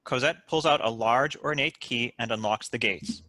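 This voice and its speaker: Bright, crisp-edged man narrating a script. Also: medium pitch, moderate pace, no echo. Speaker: narrator reading stage directions. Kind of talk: scripted narration at a table read.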